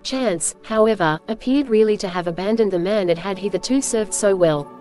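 A woman's voice: medium pitch, moderate pace, a little mellow. A woman reading scripted audiobook narration as the storyteller. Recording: faint music behind narration.

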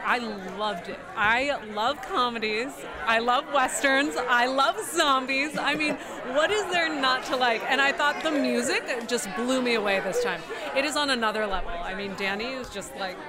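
A gushing woman with a fairly high voice gives a casual fan interview. A loud crowd roars around her. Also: moderate pace, hardly any pauses. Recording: loud crowd in public space.

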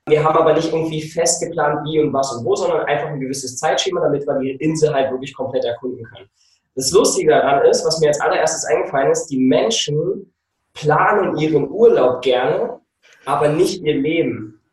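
A man's voice: a little high, brisk, a little mellow, distant mic. A German man in his thirties, a guest telling travel stories in a casual video-call interview, eager and rambling. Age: 30s. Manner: enthusiastic, rambling storyteller. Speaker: podcast guest. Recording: roomy echo, voice off-mic.

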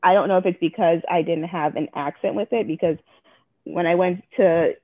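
A woman's voice: on the low side, steady tone, moderate pace, dry recording. Frank woman on a tinny line. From Jamaica. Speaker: interview guest. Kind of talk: candid personal interview.

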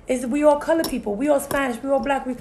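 A woman's voice: high voice, fairly even intonation, fast, darkish tone, a little hiss or hum. A Puerto Rican-American woman co-hosting a casual discussion, talkative, full of "you know" and "so it's like". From Puerto Rican family, US-raised. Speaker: podcast co-host.

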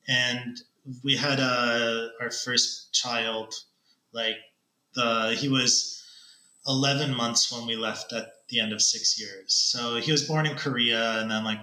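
Bright, forceful Canadian man in a roomy space. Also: mid-range voice. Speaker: podcast guest, ed tech consultant. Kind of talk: casual conversational storytelling. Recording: roomy, echoing sound.

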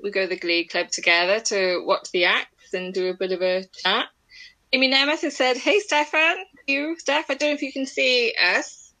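A woman's voice: on the high side, steady tone, moderate pace, bright, forceful tone, rough recording. A woman co-hosting an online show, chatting casually with the others. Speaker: podcast co-host. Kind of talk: casual chat.

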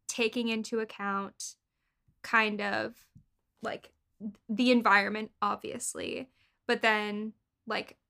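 Bright-voiced young American woman. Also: medium pitch, vocal fry. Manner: informal, halting phrasing with frequent "like". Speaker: podcast co-host. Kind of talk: casual political podcast chat.

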